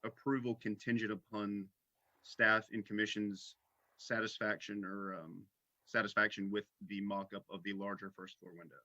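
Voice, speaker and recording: A man's voice: fairly low pitch, level tone, moderate pace, bright, forceful tone. A man serving as a commission member, formally wording a motion in a public meeting. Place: online public meeting call. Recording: dry, slightly dull call audio.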